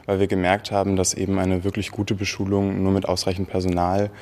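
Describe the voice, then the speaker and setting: A young man speaking as a student representative, earnest and slightly halting. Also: deep voice, monotone, darkish tone. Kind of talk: press conference statement.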